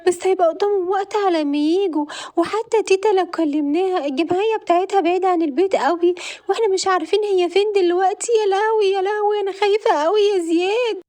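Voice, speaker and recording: A woman's voice: high voice, a little mellow, pitched above the performer's own voice. A woman voicing a fearful, worried young girl who is the little sister.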